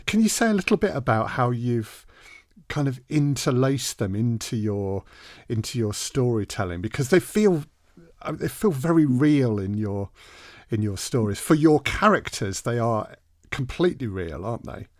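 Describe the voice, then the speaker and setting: A man hosting a podcast interview, speaking conversationally with measured, slightly hesitant phrasing. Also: mid-range voice, big pitch swings, no room sound, clean studio sound.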